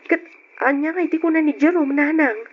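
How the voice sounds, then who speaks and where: A woman's voice: sits high, fairly even intonation, fairly quick, close to an everyday voice. A woman with a Filipino Ilocano accent playing an evasive, coy married young woman in an old-time radio drama, hesitating and stammering. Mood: evasive, flustered. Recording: dull, noisy old radio recording.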